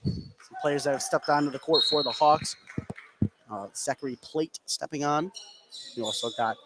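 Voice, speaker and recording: Male basketball play-by-play commentator, fairly high voice, excitable on big plays, clearly noisy gym setting. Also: moderate pace. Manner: narrates action continuously, excitable on big plays. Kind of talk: live radio sports commentary. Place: courtside in a sports gym during a game. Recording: clear gym noise behind voice.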